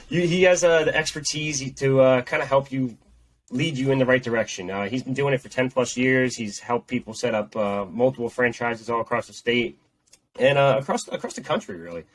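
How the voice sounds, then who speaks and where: A man's voice: medium pitch, normal speed. A man co-hosting a sports podcast while sick with a head cold, his voice nasal and congested as he talks in a rambling, repetitive way. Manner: rambling, repeats himself. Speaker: podcast co-host. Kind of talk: casual sports chat.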